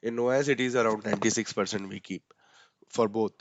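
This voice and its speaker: A man with an Indian accent walks through software settings step by step. He talks casually, with many fillers and a frequent "right?" at the end of his points. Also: on the low side, fairly even intonation, fairly quick, muffled recording, noisy background. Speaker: instructor.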